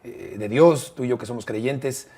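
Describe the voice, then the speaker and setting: A Mexican man in his fifties with a Mexican accent, a sports commentator telling stories in an interview, expressive and emphatic with frequent fillers. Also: medium pitch, measured pace, breathy edge. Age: 50s. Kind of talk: conversational interview storytelling.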